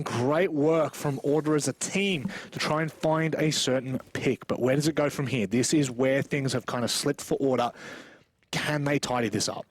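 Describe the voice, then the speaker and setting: A man doing live esports play-by-play commentary, dramatic and animated in his reactions, with casual banter mixed in. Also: fairly high pitch, slight background noise.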